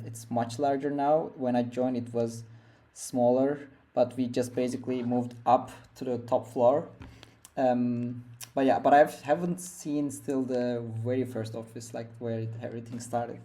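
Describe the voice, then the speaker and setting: A man's voice: medium pitch, level tone, moderate pace, mellow. A young man from Turkey, a guest on a podcast interview, speaking from home in a hesitant way with many fillers and restarts. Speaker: guest developer.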